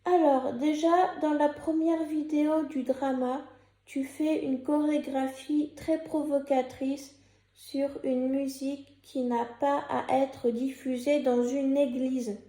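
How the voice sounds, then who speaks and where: A woman's voice: high-pitched, level tone, slow, mellow, reverberant. A young woman with a cold and a sore throat, talking in a hesitant, casual way with many fillers and restarts, partly reading from a script. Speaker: YouTuber. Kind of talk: casual vlog commentary, partly read from a script.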